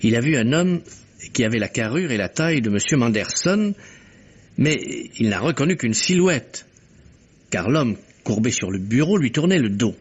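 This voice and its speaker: An actor playing a courteous, analytical gentleman detective in a vintage French radio mystery, with precise, theatrical delivery. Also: mid-range voice, very animated, normal speed, breathy edge. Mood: insistent, analytical. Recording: muffled old radio drama recording.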